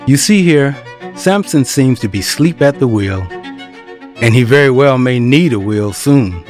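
Mid-pitched male storyteller over music. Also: lively intonation, unhurried, a little mellow. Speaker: narrator. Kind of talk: scripted audio drama narration.

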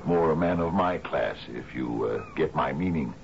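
A man's voice: extremely low pitch, animated, moderate pace, creaky, heavily acted. An actor playing a genial but domineering sea captain in a radio drama, hearty and lordly, repeating his phrases. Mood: delighted, insistent. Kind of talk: old-time radio drama acting. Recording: muffled, noisy old radio broadcast.

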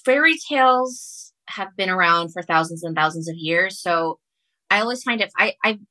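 Woman, native Spanish speaker, lower-pitched, very bright voice, casual podcast guest, self-correcting. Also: animated, dry recording, low-quality recording. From Ecuador. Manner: halting, self-correcting, repeats phrases. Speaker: guest author. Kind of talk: casual podcast interview, storytelling.

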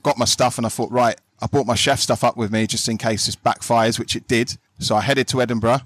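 A man's voice: medium pitch, steady tone, fairly quick, fairly bright tone, dry recording. An English man, a podcast guest, chatting casually and telling stories. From England. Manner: chatty storyteller, says "do you know what I mean" often.

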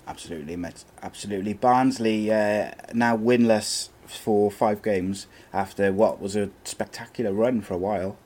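A man's voice: lowish voice, normal speed, few pauses, darkish tone. A man hosting a casual football chat show, laid-back and chatty, reading out viewer questions. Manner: laid-back, chatty, calls co-host mate. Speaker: show host.

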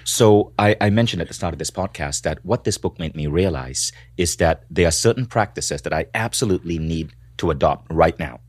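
A man's voice: low-pitched, a little fast, hardly any pauses, no echo. A man with a Malaysian accent hosting a podcast interview, earnestly summing up his guest's points. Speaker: podcast host.

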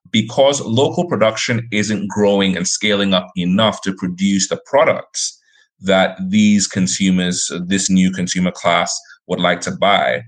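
A man giving an analytical, structured explanation as a speaker on an investment panel. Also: low-pitched. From Africa.

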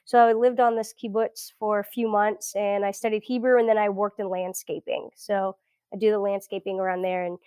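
A woman's voice: medium pitch, level tone, a little fast, warm tone, smooth, dry recording. An American woman in her thirties chatting reflectively as an interview guest, telling her story in a casual way. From South Florida, US. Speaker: podcast guest, academic. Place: at home indoors.

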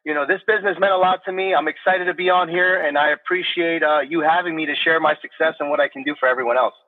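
A man's voice: fairly high pitch, steady tone, fast talker. A man speaking as a podcast guest about his credit repair business, earnest and casual, often adding filler words and checking in with "right?". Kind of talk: casual interview talk. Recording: tinny phone-line sound.

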